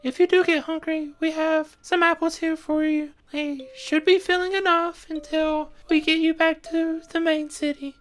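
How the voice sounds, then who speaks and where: A woman voicing a caring, fussing creature medic in a tabletop roleplay, gentle and a little hesitant. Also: sits high, flat delivery, moderate pace, few pauses, soft background music, close to an everyday voice. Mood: concerned, brisk.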